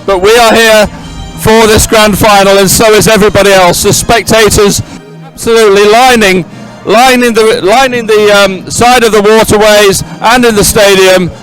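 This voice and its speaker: A man's voice: high voice, steady tone, normal speed, a bit bright, soft background music, rough recording. A man presenting live sports commentary, repeating phrases as he fills time between events.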